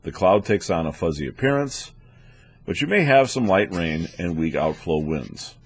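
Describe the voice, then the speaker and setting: A man with a low-pitched, slightly rough voice narrates training material. He explains methodically, like an instructor reading from a prepared script. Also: lively intonation, moderate pace. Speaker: weather instructor for air traffic controllers.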